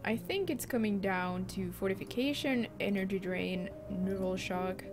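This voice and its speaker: A woman with a Finland-Swedish accent talks through her choices as she streams a game, musing aloud in a chatty, unhurried way. Her voice sits at an ordinary middle pitch. Game music plays clearly behind her. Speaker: let's play streamer. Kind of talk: casual gameplay commentary.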